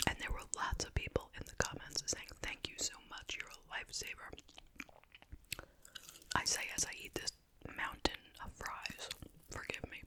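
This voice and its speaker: A person whispering in a breathy, unvoiced voice, chatting casually and without hurry as an ASMR host during a meal. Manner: casual, unhurried rambling while eating. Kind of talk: whispered ASMR chit-chat mukbang.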